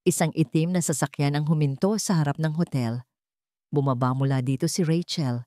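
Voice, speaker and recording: A woman's voice: low voice, moderate pace, dark tone, dry recording, clean studio sound. A woman storyteller reading a scripted dramatic story.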